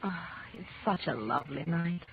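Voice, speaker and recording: An actress playing an affectionate, bantering wife in a theatrical 1930s radio drama. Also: female voice, lowish voice, expressive, normal speed, vocal fry. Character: affectionate, teasing young-to-middle-aged wife and sculptor. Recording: noisy, muffled 1930s radio recording.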